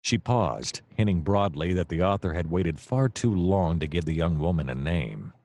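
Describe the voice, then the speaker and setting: An actor playing a wry, easily distracted private detective, narrating in a long-winded deadpan comic noir style. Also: male voice, low voice, moderate pace, no room sound.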